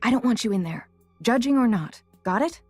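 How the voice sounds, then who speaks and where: A woman's voice: fairly high pitch, animated, fairly quick, a little mellow, husky, dry recording, faint music behind. An actress playing a teenage girl who has just lost her sight, stubborn and sarcastic. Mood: wry. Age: teens. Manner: sarcastic, restless. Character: teen girl newly blinded, stubborn.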